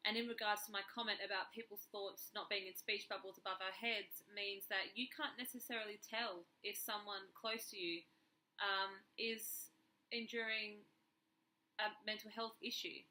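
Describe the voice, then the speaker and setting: A woman vlogger with a slightly croaky, under-the-weather voice talks earnestly and emphatically to camera, repeating key words for stress. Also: mid-range voice, steady tone, normal speed, bright, forceful tone, slight background noise, rough recording. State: slightly unwell, a bit croaky. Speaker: YouTube vlogger. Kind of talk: earnest personal talk to camera. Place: carpeted room at home, indoors.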